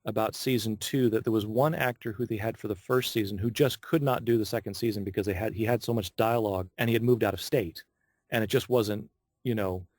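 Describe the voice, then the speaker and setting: A man talking casually as a voice actor guest on an interview show, chatty and telling stories in an animated way. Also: on the low side, no room sound, studio quality.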